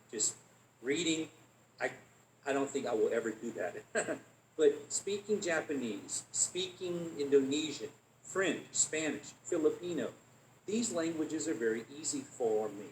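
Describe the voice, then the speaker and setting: A man's voice: mid-range voice, slight background noise. A man giving a lecture to an audience, who restarts phrases often and checks in with "okay?". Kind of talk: animated academic lecture. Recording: echoey room.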